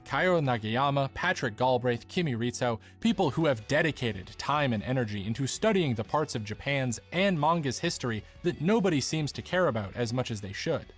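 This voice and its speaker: Male essay narrator, mid-range voice, articulate and earnest, soft music underneath. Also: mid-range voice, lively intonation, brisk, few pauses, breathy edge. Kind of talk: scripted documentary essay narration.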